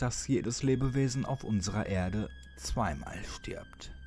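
A man narrating a horror story as a dramatic audiobook, addressing the listener ominously with pauses and occasional near-whispers. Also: lowish voice, darkish tone, slightly rough. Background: music.

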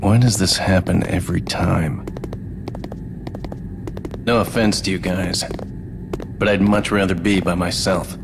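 An actor playing a cold, guarded young lone warrior in a video game, curt and brooding. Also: male voice, sits low, fairly even intonation, measured pace, gravelly, pauses often. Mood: cold, dismissive. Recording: game audio over ambient noise.

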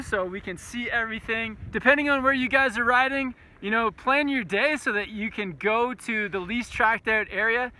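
A man's voice: high-pitched, sharp tone, low-quality recording. Upbeat young man vlogging on a mountain. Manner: upbeat, addresses viewers as "guys", whoops. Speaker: snowboard vlogger. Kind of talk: casual on-mountain vlog narration. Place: outdoors on a snowy mountain. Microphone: action camera held at arm's length.

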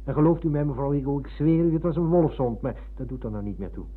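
Radio drama: actor as courteous, wistful former pupil, husky mid-pitched voice, narrow vintage sound. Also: male voice, fast, lightly acted. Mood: insistent. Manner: courteous, reflective. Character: nostalgic, polite former pupil visiting his old teacher. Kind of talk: radio play acting. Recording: muffled, lo-fi old radio recording.

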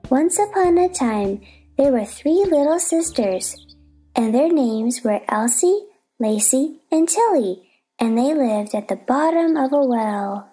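A woman's voice: high-pitched, lively intonation, slow pace, warm tone, soft background music, exaggerated voice. A woman voicing a sleepy, touchy dormouse telling a rambling story in a stage play reading. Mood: sleepy, earnest. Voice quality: hoarse, feeble voice.

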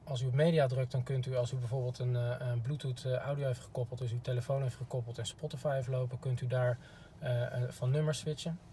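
A man's voice: mid-range voice, little pitch movement, fast, few pauses, warm tone. A man giving a polite, informal instructional demo as a car product expert, recorded inside a parked car. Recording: clear background noise.

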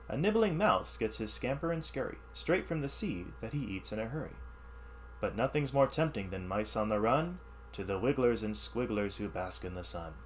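A man teaching through an expressive read-aloud of rhyming science verse, with explanatory asides in an instructional manner. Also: medium pitch, slight background noise. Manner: animated, instructional.

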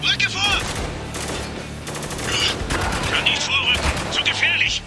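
A tense special forces soldier in a war game, barking urgent callouts at a very high pitch. His voice swings widely and echoes, with music and gunfire behind it. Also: expressive, normal speed, far from the mic. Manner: urgent, barked short warnings. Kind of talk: video game combat voice acting. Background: gunfire and loud music.

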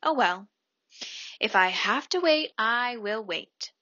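A woman's voice: fairly high pitch, lively intonation, takes it slowly, pushed and bright, no echo, dull audio, full character voice. A woman voicing an anxious, impatient male elephant who groans and complains in a children's picture book. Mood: resigned.

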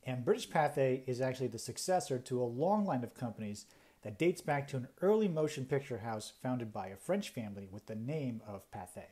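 A man's voice: medium pitch, animated, brisk, dark tone. A man presenting a conversational explainer, talking through points in an explanatory way and stressing key words.

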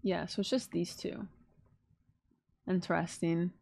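A woman's voice: fairly low pitch, steady tone, measured pace, gravelly, a little mellow, dry recording, clean studio sound. A woman in her twenties giving casual, gushing, slangy and playful reaction commentary as a streamer. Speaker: streamer reacting to game trailers. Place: small indoor streaming room at home. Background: faint trailer music.

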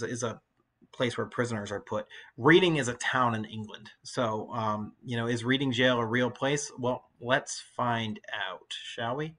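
A middle-aged man giving an informal English lesson, explaining and reading aloud in a conversational, halting way with asides. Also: medium pitch, bright, forceful tone. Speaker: high school English teacher.

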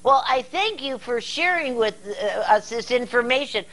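Older American female TV host, higher register, folksy and halting, casual studio interview. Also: animated, a bit bright. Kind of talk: casual talk-show interview. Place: indoor TV studio set.

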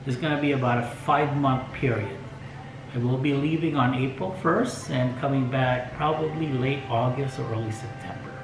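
Older male vlogger, unhurried and opinionated, recorded in a roomy space with soft music underneath. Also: mid-range voice. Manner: slow, opinionated, chuckles as he talks. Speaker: RV travel vlogger. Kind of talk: casual talk to camera. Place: home dining room, indoors. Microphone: fixed camera across the table.

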